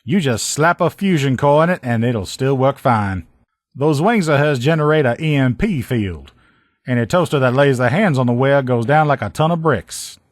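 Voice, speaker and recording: A man's voice: medium pitch, animated, moderate pace, no room sound, clean studio sound, lightly acted. A man playing an upbeat, loyal army lieutenant and armor mechanic, with folksy, informal soldier talk, as video game dialogue. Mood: brisk, assured.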